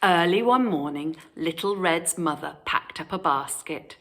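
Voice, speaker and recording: A woman's voice: lowish voice, unhurried, a little hiss or hum. A British woman narrating a children's story expressively, speaking as if to young children. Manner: animated, addressing young children. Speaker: storytime reader.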